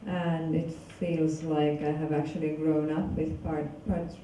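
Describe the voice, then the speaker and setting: A woman from Finland giving a public lecture in a large hall, talking in a halting, casual way with many fillers and restarts. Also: low-pitched, level tone, mellow, a little hiss or hum. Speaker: guest lecturer. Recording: echoey hall from far off.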